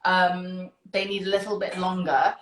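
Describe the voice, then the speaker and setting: A woman's voice: lowish voice, little pitch movement, a little slow, fairly bright tone. A British woman hosting a live online session, chatting casually and enthusiastically to viewers. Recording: roomy, echoing sound.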